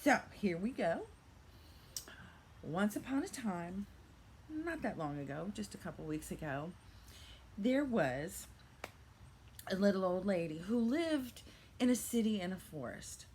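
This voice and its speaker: A woman's voice: medium pitch, very animated, measured pace, pauses often, a little mellow, slight background noise. An older woman telling a playful fairy-tale style story with theatrical narration and chatty asides. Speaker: vlogger telling a reselling story.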